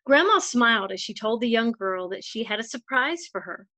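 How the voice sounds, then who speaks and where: A woman's voice: a little high, expressive, moderate pace, pushed and bright, no room sound. A woman narrating a children's storybook as a volunteer storytime reader.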